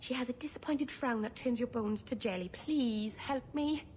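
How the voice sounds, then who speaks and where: A woman's voice: fairly high pitch, moderate pace, darkish tone, a little hiss or hum, natural voice. A woman voicing a naive, earnest young elf with a hesitant, stubborn manner in a video game. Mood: anxious, pleading. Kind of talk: video game dialogue acting.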